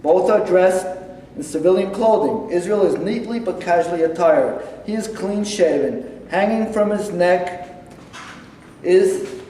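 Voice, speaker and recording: A man reading the stage directions aloud in a plain, even way during a staged play reading. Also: sits high, a little hiss or hum. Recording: echoey hall from far off.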